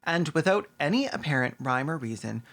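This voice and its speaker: A man's voice: a little high, animated, brisk, gravelly, fairly bright tone. A man delivering sarcastic recap commentary as a video host, mocking what he describes and acting out bits of dialogue. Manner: sardonic, mocking, acts out dialogue.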